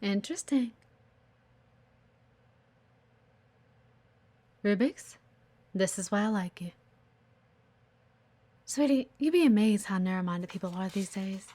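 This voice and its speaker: A voice actress playing a sharp, demanding female office executive in an audio roleplay, teasing and condescending, with a theatrical, flirty delivery. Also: medium pitch, animated, moderate pace, pauses often, lightly acted. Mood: approving, teasing. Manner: teasing, haughty, theatrical, sighing. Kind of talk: intimate audio roleplay acting.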